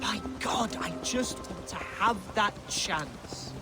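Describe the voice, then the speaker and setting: A man's voice: sits high, slow pace. An actor playing an eager young Saxon nobleman, jovial and a little bumbling, in a game cutscene. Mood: earnest. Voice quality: lively, jovial, a bit bumbling. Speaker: game character. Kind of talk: video game cutscene acting. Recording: game audio with loud music.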